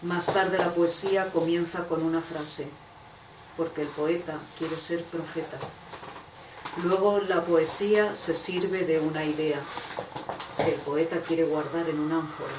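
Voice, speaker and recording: A woman reads verse aloud at a poetry event. Her voice reaches a distant microphone through a noisy room with plenty of echo. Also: low-pitched. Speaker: reader of poems in translation. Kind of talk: poetry recitation. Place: bookshop event.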